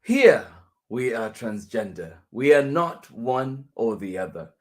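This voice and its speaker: A man reading a children's picture book aloud as a teacher would, deliberately, with pauses between phrases. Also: mid-range voice, sing-song.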